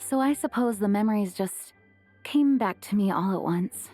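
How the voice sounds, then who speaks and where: A woman voicing an earnest, dutiful female leader in a video game, formal and slightly high-strung. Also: moderate pace, over music, close to an everyday voice. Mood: bashful. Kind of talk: animated game dialogue.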